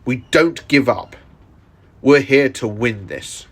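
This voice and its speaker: A man's voice: mid-range voice, lively intonation, takes it slowly, fairly bright tone, full character voice. Man playing a stern, defiant superhero. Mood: determined. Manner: emphatic, snapping back. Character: defiant, stern male superhero, determined and unyielding.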